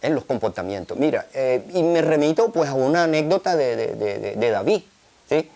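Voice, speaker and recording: A man's voice: mid-range voice, animated, moderate pace, creaky, dark tone. A man from Colombia's Caribbean coast with a coastal accent, talking in a documentary interview, full of fillers and tag questions. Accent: Colombian Caribbean Spanish.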